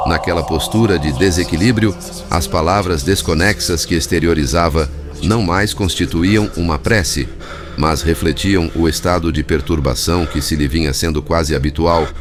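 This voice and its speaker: A man reading scripted audiobook narration in a formal, measured way. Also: extremely low pitch. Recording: music bed behind narration.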